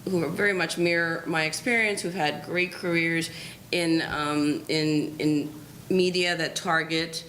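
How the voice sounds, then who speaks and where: A woman speaking on a discussion panel, making her point in a measured, slightly hesitant way. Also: low-pitched, fairly even intonation, fairly bright tone, a little hiss or hum, poor audio.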